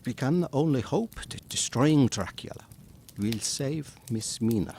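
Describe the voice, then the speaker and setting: An actor playing a learned, resolute old professor in an audio drama, lecturing in measured, emphatic fashion. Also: male voice, mid-range voice, lively intonation, vocal fry, a little mellow, a little hiss or hum. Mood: sombre. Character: learned old male professor, grave, resolute vampire expert.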